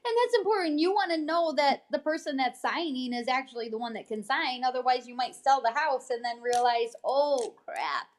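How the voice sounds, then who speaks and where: A woman's voice: high voice, expressive, moderate pace, few pauses. An American woman reading a newsletter aloud on a casual livestream, chatting informally and often tagging on little check-ins. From United States. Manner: informal, frequent check-in tags like "okay?". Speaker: host, real estate agent reading a newsletter.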